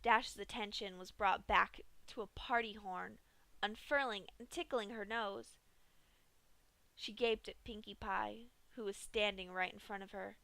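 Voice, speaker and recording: Young female story reader, bright and higher voice, dry close mic. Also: fairly even intonation, measured pace, vocal fry, no echo, low-quality recording. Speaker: fanfic reader. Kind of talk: dramatic story reading.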